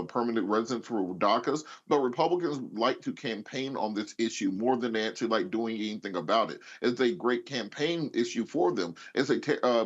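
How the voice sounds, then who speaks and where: An American man delivers assertive political commentary at a quick clip. His voice sits fairly low, and the audio is dull, as if through a limited link. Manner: assertive, opinionated. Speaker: political panelist.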